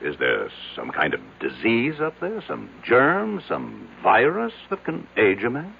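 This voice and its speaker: Creaky, incredulous businessman. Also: medium pitch, wildly swooping pitch, unhurried, heavily acted. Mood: disbelieving, insistent. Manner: insistent, questioning. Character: incredulous businessman demanding rational answers from a doctor. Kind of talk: 1970s radio drama acting. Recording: muffled noisy old radio broadcast.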